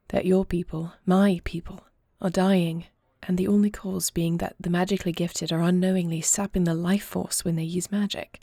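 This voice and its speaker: A woman playing an earnest young princess and war leader in an audio roleplay, speaking formally in solemn, measured phrases. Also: on the low side, creaky, warm tone, dry recording, studio quality. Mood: gentle, probing. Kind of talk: scripted audio roleplay acting.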